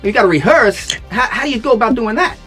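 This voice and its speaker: A man's voice: very high-pitched, lively intonation, fast, a bit bright, soft background music. A man hosting a casual interview, gushing and repeating "you know what" while he talks. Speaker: talk show host playing a vampire count.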